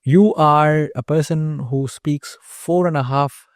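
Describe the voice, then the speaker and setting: A man's voice: fairly high pitch, takes it slowly, no room sound, clean studio sound. A man hosting a casual podcast interview, asking questions hesitantly with many ums. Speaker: podcast host.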